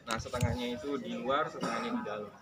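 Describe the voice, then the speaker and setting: A man's voice: mid-range voice, steady tone, quick pace. A man from guiding a group on a tour, explaining conversationally and checking in with his listeners. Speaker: tour guide. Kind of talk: guided tour commentary to a group. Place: on site at a historical cemetery and bathing pool. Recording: noisy, echoey, distant live tour recording.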